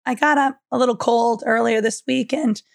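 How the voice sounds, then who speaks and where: A woman co-hosting a podcast, hoarse and losing her voice after a cold, telling a story in casual chat. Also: a little high, fairly even intonation, moderate pace, no room sound, clean studio sound. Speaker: podcast co-host.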